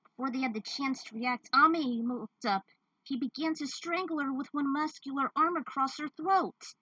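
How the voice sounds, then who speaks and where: Female story narrator: higher register, forceful bright tone, dramatic read-aloud, dry sound. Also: moderate pace, no echo, dull audio. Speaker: fan fiction narrator.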